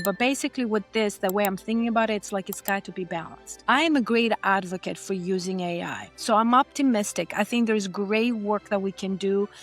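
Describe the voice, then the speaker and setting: A woman's voice: mid-range voice, a bit bright. A woman from Greece, a podcast guest, explaining her views in an earnest, conversational way with occasional restarts. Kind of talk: conversational interview.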